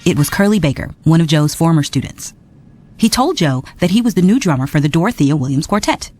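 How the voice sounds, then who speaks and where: A woman narrating a storybook read-along in a lively, expressive way, with soft music behind. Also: low-pitched, brisk.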